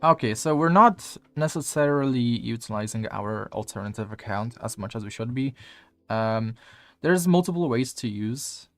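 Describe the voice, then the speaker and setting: A man's voice: mid-range voice, expressive, normal speed, a bit bright, dry recording. A man with a Polish accent, coaching as he reviews gameplay footage in a casual, rambling way, full of fillers and the odd swear word. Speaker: game coach.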